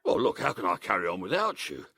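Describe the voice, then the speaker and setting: A sly barber of melodrama, husky and very dry in sound. His pitch leaps and dives as he pours out unctuous, mock-courteous theatrical lines. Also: big pitch swings, quick pace, bright, forceful tone, no room sound, heavily acted. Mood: persuasive, grandiose. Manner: unctuous, theatrical, swooping delivery. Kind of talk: period audio drama acting.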